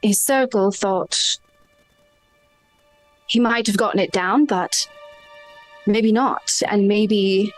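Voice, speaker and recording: A woman talks through her turn at a tabletop game at a very unhurried pace, tentative and thinking aloud. Her pitch is average, and music is clearly audible behind her. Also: low-quality recording. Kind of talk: improvised in-game discussion and casual table talk. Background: background music.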